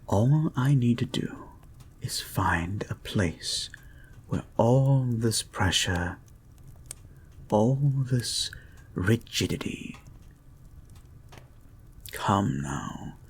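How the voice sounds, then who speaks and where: A man performs a creepy male hypnotist, low and gravelly, in an intimate audio roleplay. He speaks extremely slowly, with calm control, in a breathy murmur that slips into whispers as he guides the listener like an obsessive therapist. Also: full character voice. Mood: smug, intrigued. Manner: soft, breathy, deliberate murmur often dropping into whispers.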